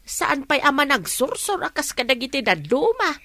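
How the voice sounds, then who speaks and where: A woman's voice: high voice, big pitch swings, moderate pace, bright, forceful tone, no room sound, exaggerated voice. A woman playing a doting older village mother in a radio drama, with a motherly way of talking. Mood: affectionate.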